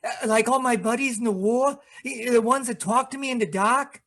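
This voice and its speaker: An actor playing a slow-witted, devoted, shell-shocked war veteran in an old-time radio drama, speaking in halting, repetitive phrases. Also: male voice, very high voice, fairly bright tone. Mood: worried, confused. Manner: hesitant, halting, repeats words.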